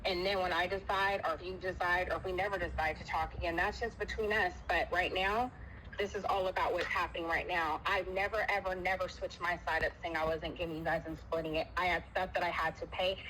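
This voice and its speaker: A woman's voice: on the low side, steady tone, fairly quick, fairly bright tone. A woman in a heated personal argument on a phone call, speaking emphatically and repeating herself to press her points. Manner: emphatic, repetitive, talks over the other speaker. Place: phone call with noise behind. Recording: noisy phone call recording.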